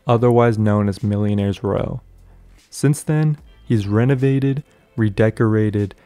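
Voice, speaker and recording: A man's voice: mid-range voice, mellow, dry recording. A man reading a scripted voice-over narration for a luxury house tour video, in an upbeat promotional manner.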